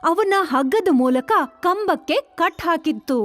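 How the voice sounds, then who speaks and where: A woman's voice: extremely high pitch, lively intonation, measured pace, dry recording, faint music behind. A woman narrating a scripted children's story.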